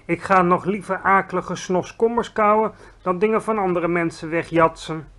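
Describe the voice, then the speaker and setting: A man's voice: sits high, fairly even intonation, moderate pace, fairly bright tone. A man voicing a kindly, timid but proud giant who speaks earnestly and stumbles over his words in a storybook reading.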